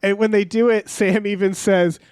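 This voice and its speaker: A man's voice: high-pitched, steady tone, normal speed, darkish tone, clear, resonant tone, dry recording, clean studio sound. A man co-hosting a podcast in a casual, comedic recap style, rambling and incredulous, with some offhand swearing. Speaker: podcast co-host.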